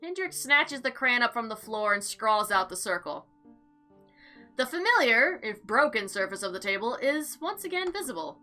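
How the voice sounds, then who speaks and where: A woman giving casual, chatty gameplay commentary and reading visual novel text aloud in an expressive, theatrical way. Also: a little high, normal speed. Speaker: game player and narrator. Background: background music.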